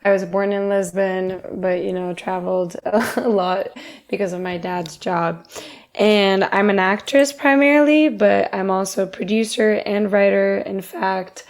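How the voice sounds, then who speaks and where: Slow-speaking Portuguese-born young woman. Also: medium pitch. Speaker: guest actress. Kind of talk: casual interview chat.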